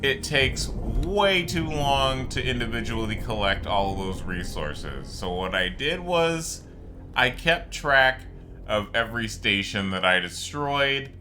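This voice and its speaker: A man's voice: fairly low pitch, pushed and bright. A man giving casual, rambling gameplay commentary, explaining things with frequent asides. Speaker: gaming video creator narrating gameplay. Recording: game music behind the voice. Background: video game music.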